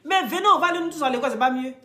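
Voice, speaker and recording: A woman's voice: high-pitched, rapid-fire. A woman with an Ivorian French accent, French not her first language, giving a blunt, emphatic monologue to camera. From Côte d'Ivoire. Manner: blunt, emphatic, street slang, rises to loud outbursts. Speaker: online commentator.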